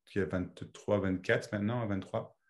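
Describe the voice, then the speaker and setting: A man's voice: on the low side, fairly even intonation, moderate pace. A man who grew up in Belgium, hosting a video-call meeting and chatting casually, rambling with many restarts. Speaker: meeting host.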